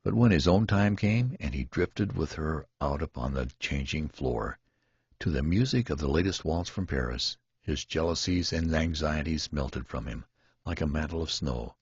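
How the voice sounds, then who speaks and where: A man's voice: rumbling low, expressive, normal speed, darkish tone, husky, dry recording, muffled recording. A man reading a literary story aloud as scripted audiobook narration. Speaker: audiobook reader.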